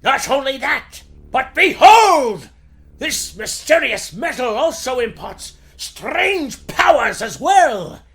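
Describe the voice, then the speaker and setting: A man playing a feeble, elderly, excitable scientist with a grandiose, formal delivery in a 1940s radio serial style. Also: very high voice, takes it slowly, full character voice. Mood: excited, triumphant. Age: older.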